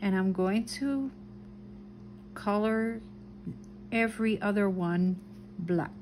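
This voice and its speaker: A woman with a light Dutch accent, calmly talking through each step as she draws and colors a mandala. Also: mid-range voice, slow pace, slight background noise, poor audio. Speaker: craft video host. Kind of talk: relaxed craft tutorial narration.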